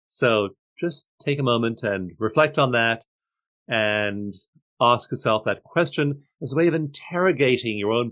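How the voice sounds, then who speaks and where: Calm older man leading reflection. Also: mid-range voice. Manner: calm, inviting listeners to pause. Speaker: host. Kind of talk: guided reflection.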